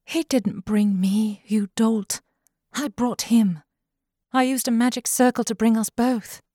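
A woman's voice: fairly high pitch, steady tone, measured pace, dark tone, no echo, voice pushed up. Woman as fantasy party leader, female, earnest, patient but firm, dry storybook recording. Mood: firm, exasperated.

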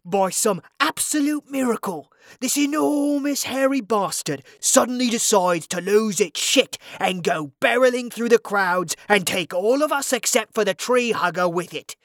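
A woman's voice: a little high, animated, measured pace, hardly any pauses, no echo, studio quality. A woman voicing a sarcastic, foul-mouthed male wizard in a fantasy audiobook, mocking and theatrical in delivery. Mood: mocking, accusing.

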